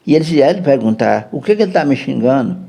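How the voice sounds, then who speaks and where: A man's voice: mid-range voice, animated, fast talker, gravelly, warm tone, slightly rough. A man with a Brazilian Portuguese accent, a podcast guest telling a long, rambling story and often checking in with his listener. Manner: chatty, keeps checking in with "né?".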